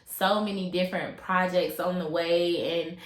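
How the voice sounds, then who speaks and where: A woman's voice: on the low side, steady tone, normal speed, echoey room. A young woman hosting her own podcast, chatting casually with lots of slang and fillers, a little congested from a cold. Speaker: podcast host. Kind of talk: casual solo podcast chat.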